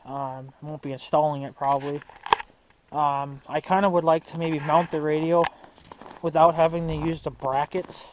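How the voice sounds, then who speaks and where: A man's voice: a little high, steady tone, normal speed. A young man chatting casually to viewers as a vlog host, rambling and slangy as he thinks aloud. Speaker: hobbyist video host. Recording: muffled, noisy amateur recording.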